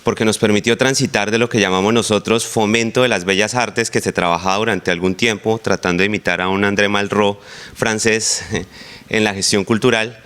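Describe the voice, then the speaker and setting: A man's voice: fairly low pitch, steady tone, moderate pace, fairly bright tone, clear, resonant tone. A man from Colombia, asking a polite, long-winded question from the audience. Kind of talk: formal question from the floor.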